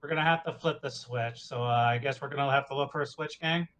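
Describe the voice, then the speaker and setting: A man's voice: medium pitch, fast, very noisy. A man playing a tabletop game, talking casually with the other players.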